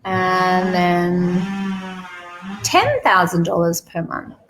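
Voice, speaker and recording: A woman guiding a meditation slowly and soothingly, repeating phrases with pauses, in a creaky, gravelly voice. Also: fairly low pitch, sing-song, noisy background. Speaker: guided meditation leader. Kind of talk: guided money meditation, spoken softly to the listener.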